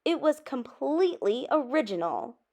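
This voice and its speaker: A woman voicing an encouraging female cookie schoolteacher in a storybook, kindly and measured as she instructs. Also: high voice, very animated, mellow, voice pushed up.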